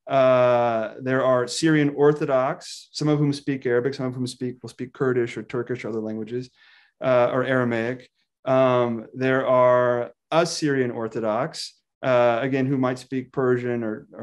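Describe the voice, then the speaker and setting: A man in his thirties from Texas, a scholar talking as a guest on a video call, animated, laughing often and restarting his sentences. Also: medium pitch. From Texas, United States. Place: indoor room with plain wall. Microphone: video call webcam.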